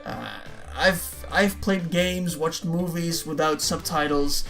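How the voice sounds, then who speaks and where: A man's voice: fairly high pitch, slow pace, vocal fry, fairly bright tone, soft background music. A young man whose first language is Dutch, answering viewer questions in a casual, rambling way, often repeating words and saying "man". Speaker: YouTuber.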